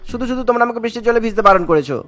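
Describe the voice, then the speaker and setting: A man's voice: very high-pitched, animated, rapid-fire, a bit bright. A man voicing a sulky young married son in a cartoon, whining and complaining. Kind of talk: cartoon voice acting.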